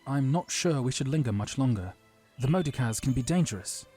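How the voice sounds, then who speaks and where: A man playing a dutiful, cautious military commander in a fantasy audio drama, speaking earnestly and gravely. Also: mid-range voice, expressive, brisk, a little mellow, faint music behind.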